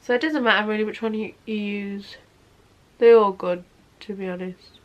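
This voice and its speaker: A woman's voice: medium pitch, fairly even intonation, moderate pace. Sniffly young woman, chatty. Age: 20s. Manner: chatty, keeps saying "obviously". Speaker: makeup vlogger. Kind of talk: casual makeup tutorial talk. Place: bedroom, sitting on a bed.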